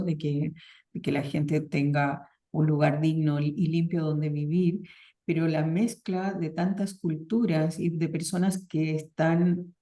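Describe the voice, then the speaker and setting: Middle-aged woman interviewer, low and warm, supportive with plenty of mm-hms. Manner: frequent affirming murmurs, searching restarts. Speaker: interviewer, psychologist. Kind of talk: conversational interview.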